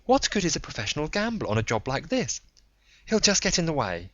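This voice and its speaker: A man's voice: fairly high pitch, sing-song, brisk, fairly bright tone, dry recording, muffled recording, voice pushed up. A man voicing a sceptical woman agent with a dry, dismissive manner in an audiobook story. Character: sceptical, dismissive woman colleague at an intelligence agency.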